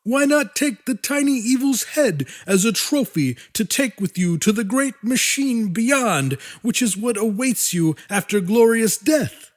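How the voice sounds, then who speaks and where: A man's voice: very high-pitched, lively intonation, measured pace, hardly any pauses, voice pushed up. A man voicing a savage clan fighter, a living bullet, who speaks in a peculiar, repetitive formal cadence. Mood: stiff, insistent.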